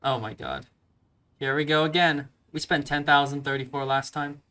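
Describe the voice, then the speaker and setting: A man's voice: a little high, fairly even intonation, moderate pace, sharp tone. A man giving casual, slangy let's play commentary, thinking aloud as he plays.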